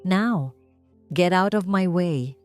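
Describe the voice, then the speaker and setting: A woman's voice: lowish voice, slow pace, soft background music. A woman with a Filipino accent voicing a cold, arrogant rich man in an audiobook, mocking and dismissive. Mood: cold mockery.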